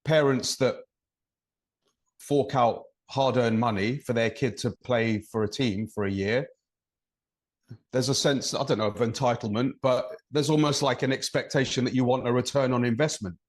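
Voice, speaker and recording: An English man of middle pitch who talks through his points with care and builds them up with examples. He speaks in a relaxed, conversational podcast manner. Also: moderate pace. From England. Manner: thoughtful, explains at length with examples. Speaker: referee and podcast co-host.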